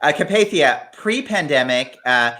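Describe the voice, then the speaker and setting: A man hosts an interview over a video call, his pitch moving a lot. He asks his questions haltingly, with many fillers along the way. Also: lively intonation, sharp tone. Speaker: host.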